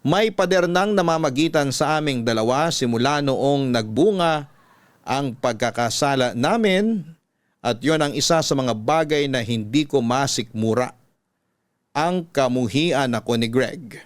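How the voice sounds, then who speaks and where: A man's voice: mid-range voice, expressive, a little slow, no echo. A Filipino man narrating a scripted first-person life story as host, in a classic radio storytelling style.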